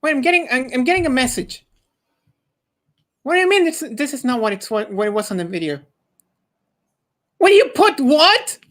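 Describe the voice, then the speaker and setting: Food-obsessed, childlike video-game hero played by a woman; high voice, melodramatic stammer, stubborn temper. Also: sing-song, moderate pace, pauses often. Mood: indignant.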